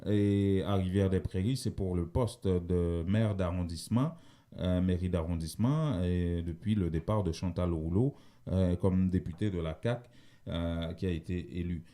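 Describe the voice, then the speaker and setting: A male radio host with a low, dark voice presents the news conversationally. He explains each item as he goes, pausing now and then to find his phrasing.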